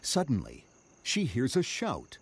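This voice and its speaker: A man's voice: medium pitch, huge pitch swings, a little slow, gravelly, heavy background noise. A man reading scripted narration for a children's read-along story.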